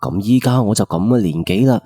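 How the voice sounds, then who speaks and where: A man's voice: fairly low pitch, a little fast, dark tone, husky, dry recording, soft background music. A man with a Cantonese accent narrating a first-person ghost story in a colloquial, suspenseful late-night radio style.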